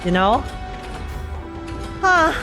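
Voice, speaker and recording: A woman's voice: high-pitched, sing-song, extremely slow. A woman game streamer giving casual reaction commentary, informally thinking aloud and questioning herself. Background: game music.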